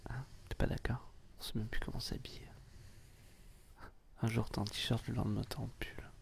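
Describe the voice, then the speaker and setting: A man's voice: mid-range voice, flat delivery, normal speed. A man whispering intimately to the listener in a casual ASMR style, with a playful, teasing manner. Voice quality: breathy whisper. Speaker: ASMR video host.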